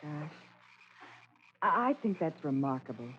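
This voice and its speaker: An actress playing a curious, polite detective's assistant in old-time radio drama, with an inquisitive manner. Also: female voice, low voice, very animated, measured pace. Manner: inquisitive, impressed interjections. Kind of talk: 1940s radio drama acting. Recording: muffled, noisy old radio recording.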